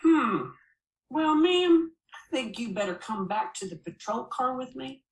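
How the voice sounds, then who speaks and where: Female reader as small-town lawman in an audiobook: polite, probing, deliberate, quietly in charge, roomy acoustic. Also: high-pitched, big pitch swings, pitched above the performer's own voice, exaggerated voice. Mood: suspicious. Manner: measured, probing, authoritative. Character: slow, courteous, suspicious male small-town policeman. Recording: roomy, echoing voice recording.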